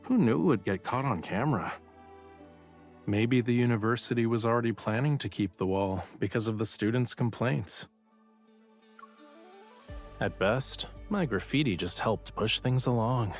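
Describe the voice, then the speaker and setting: A man's voice: mid-range voice, moderate pace, pauses often, lightly acted. A man acting as a playful young art professor in a romance game. He teases his partner in an understated, aloof way, with music playing behind his voice. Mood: musing.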